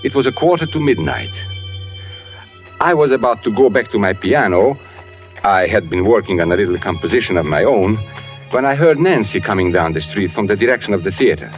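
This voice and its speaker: An actor playing a gentle, brooding blind composer who speaks deliberately with haunting pauses in 1940s radio drama style. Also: male voice, fairly low pitch, animated, lightly acted. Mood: quiet narrating. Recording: muffled old radio recording with music.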